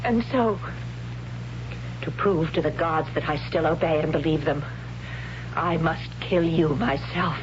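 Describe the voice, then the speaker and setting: An actress playing a devout, tormented warrior woman in love, in a 1970s radio drama, speaking with halting, solemn intensity. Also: low-pitched. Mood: anguished wonder. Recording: muffled, noisy 1970s radio recording.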